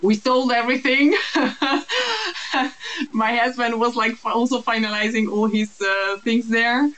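A woman's voice: on the high side, steady tone, a little slow, fairly bright tone, poor audio. A woman, talking as an interview guest in a reflective, casual way with frequent restarts. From Uruguay.

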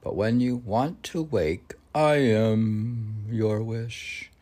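A man hosting a poetry podcast, reading verse and musing aloud in halting, questioning asides as if talking to himself. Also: on the low side, darkish tone. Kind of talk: poetry reading with rambling casual commentary.

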